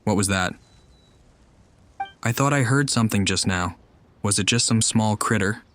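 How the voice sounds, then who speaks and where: Low-voiced guy commenting on his game, wry and suspicious, talks to the characters. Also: normal speed, noisy background. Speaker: gamer. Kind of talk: let's play commentary. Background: game music.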